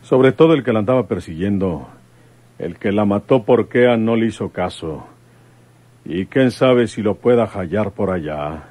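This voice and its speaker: An actor playing an earnest, quick-tempered rural commissioner in a radio soap, with folksy, halting speech. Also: male voice, fairly low pitch, expressive, warm tone, dry recording. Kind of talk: Mexican radio soap acting.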